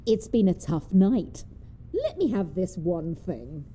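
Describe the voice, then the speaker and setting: A woman's voice: fairly low pitch, sing-song, slow, warm tone, full character voice. An actress playing a feisty, mischievous old woman in an audio drama, her voice muffled. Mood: cheeky, wistful. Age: older.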